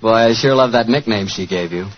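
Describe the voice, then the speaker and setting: A jovial, folksy American man working as announcer and pitchman in 1940s radio style. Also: brisk. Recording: dull 1940s radio recording.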